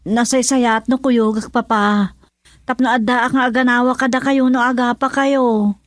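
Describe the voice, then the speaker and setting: A woman's voice: on the high side, fairly even intonation, moderate pace, no echo, studio quality, close to an everyday voice. A woman playing an anxious wife who mediates in an Ilocano radio drama. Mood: anxious, pleading. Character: anxious wife mediating between father and husband.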